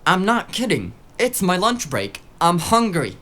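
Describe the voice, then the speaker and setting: An actor playing a cheeky, eager, tech-savvy sidekick in a noir audio drama, with breezy, casual banter. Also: male voice, sits high, big pitch swings, a little slow, pushed and bright, exaggerated voice. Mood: teasing.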